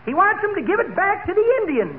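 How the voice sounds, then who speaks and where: An actor playing a spry, folksy old American joker in a 1940s radio comedy, with a rustic wisecracking delivery. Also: male voice, extremely high pitch, very animated, a little fast. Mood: jokey. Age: older. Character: spry, folksy old male joker peddling Easter eggs. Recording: tinny, very noisy 1940s radio recording, crowd.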